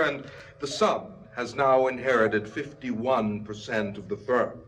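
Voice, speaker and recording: A man's voice: medium pitch, fairly bright tone, natural voice. An actor playing a pompous, scheming middle-aged company man in a radio drama, formal and imperious. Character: pompous, scheming middle-aged corporate heir, indignant snob. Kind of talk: 1970s radio drama acting. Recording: noisy 1970s radio drama recording.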